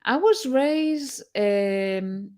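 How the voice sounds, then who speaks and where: Israeli-born woman, halting and thoughtful. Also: mid-range voice, lively intonation, dark tone. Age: middle-aged. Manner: thoughtful, hesitant with many fillers. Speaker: guest, tech mentor. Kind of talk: casual interview on a video call.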